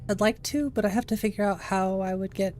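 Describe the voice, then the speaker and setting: A woman's voice: mid-range voice, a little fast, a little mellow, faint music behind. A woman game streamer chatting casually into her XLR microphone at home, with wry, chatty asides. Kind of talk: casual gameplay chat.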